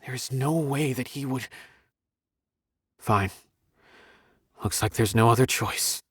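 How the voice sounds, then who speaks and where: Man as an earnest young officer, animated, higher-voiced. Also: normal speed, no echo, studio quality, close to an everyday voice. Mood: shaken denial. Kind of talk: anime-style video game voice acting.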